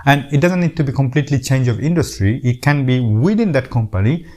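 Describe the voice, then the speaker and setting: A man's voice: mid-range voice, expressive, fast talker, darkish tone. A middle-aged man from Bangladesh with a Bangladeshi accent, giving earnest casual advice to camera, often repeating words and restarting his sentences. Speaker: YouTube host.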